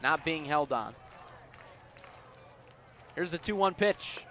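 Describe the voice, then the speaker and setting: Male baseball announcer, higher-pitched, sharp bright voice, live radio play-by-play, outdoor ambient noise. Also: expressive. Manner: measured between pitches, punchy and louder on plays. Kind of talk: live radio sports commentary.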